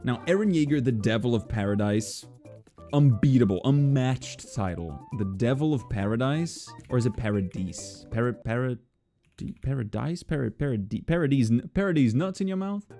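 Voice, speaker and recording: Animated, casual young man with mellow voice. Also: mid-range voice, normal speed, faint music behind. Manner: excitable, expressive, informal. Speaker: video creator. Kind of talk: casual chatty vlog talk.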